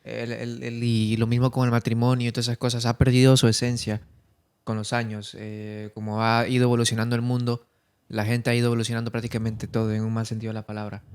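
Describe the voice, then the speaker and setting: A man's voice: medium pitch, completely flat delivery, quick pace, darkish tone, smooth. A man talking informally as a panelist in a group podcast discussion. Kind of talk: casual group chat.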